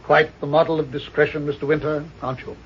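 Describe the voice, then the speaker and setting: An actor playing a shrewd, haughty doctor detective in an old-time radio mystery, speaking pedantically with hesitant pauses. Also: male voice, fairly high pitch, level tone, lightly acted. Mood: dry, condescending. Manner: pompous, pedantic, hesitant "uh" mid-sentence. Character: shrewd, haughty male doctor sleuth, coolly condescending. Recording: dull, muffled old radio recording.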